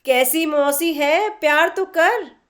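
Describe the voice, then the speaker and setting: A woman's voice: high voice, animated, takes it slowly, a bit bright, pitched above the performer's own voice, heavily acted. A woman voicing a teasing, affectionate mother in a story reading, playfully ordering people about. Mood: playfully bossy. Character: warm, teasing mother, playfully commanding old friend.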